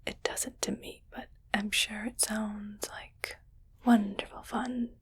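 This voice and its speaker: A woman playing a scheming, patronizing rich alien in an ASMR roleplay, speaking in a breathy, coaxing whisper. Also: mid-range voice, flat delivery. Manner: slow, patronizing, softly coaxing. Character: condescending, scheming rich female alien keeping a human pet.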